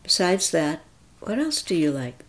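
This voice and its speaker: A woman voicing a tired, kind adult male ballet teacher who talks gently and carefully. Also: fairly low pitch, big pitch swings, measured pace. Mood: gentle.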